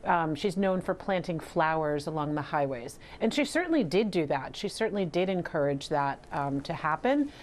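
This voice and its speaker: A woman's voice: low-pitched, expressive, moderate pace, hardly any pauses, slight background noise. A woman filmmaker giving explanatory answers as a guest in an interview.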